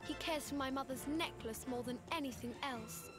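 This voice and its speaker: A woman plays a young village boy in a video game, with a high voice. He sounds earnest, plaintive and eager to prove himself. Music plays clearly behind him. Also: moderate pace, lightly acted. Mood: sad.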